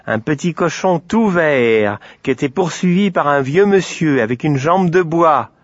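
Guy doing the sun from a kids tale, firm and kindly, sure of himself, slightly husky. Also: fairly high pitch, animated, a little slow, no echo, highs cut off, voice pushed up. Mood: insistent. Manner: firm, assured. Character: the sun, firm, kindly, self-assured male visitor.

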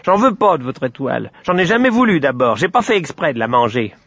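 A man's voice: fairly high pitch, big pitch swings, fairly quick, a bit bright, breathy edge, no echo, dull audio, pitched above the performer's own voice, heavily acted. A man voicing a defiant, whiny little pig in a storybook tale, protesting petulantly. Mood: sulky.

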